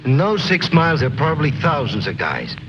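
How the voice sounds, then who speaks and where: Tough sarcastic soldier, old war film, voice jumps around, dull noisy soundtrack. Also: male voice, fairly high pitch, huge pitch swings, fairly quick. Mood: agitated. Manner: blunt, sarcastic. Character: tough, skeptical soldier, blunt and argumentative.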